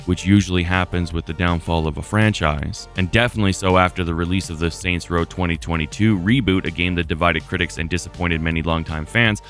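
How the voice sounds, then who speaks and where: A man with a fairly low voice presents scripted game commentary as a host. He talks informally and straight to the viewer, with light music underneath. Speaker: video essay host. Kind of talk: scripted narration, gaming retrospective commentary.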